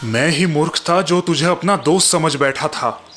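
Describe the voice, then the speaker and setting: A man's voice: on the high side, normal speed, music in the background. A man narrating scripted moral and horror stories in a dramatic storytelling style.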